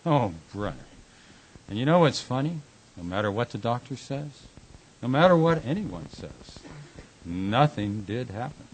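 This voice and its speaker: An actor playing an anguished, brooding husband haunted by nightmares in a radio drama, speaking haltingly with big swings in intensity. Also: male voice, medium pitch, big pitch swings, low-quality recording. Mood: drained, bitter. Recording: hissy amateur workshop recording.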